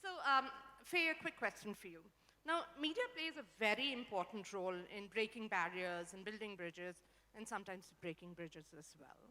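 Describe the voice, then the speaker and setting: A woman's voice: mid-range voice, moderate pace, sharp tone. A woman with an Indian English accent interviewing a guest on stage, enthusiastic and chatty, on a handheld mic. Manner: enthusiastic, chatty, mixes in Hindi phrases. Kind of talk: conference fireside chat. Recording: reverberant conference hall.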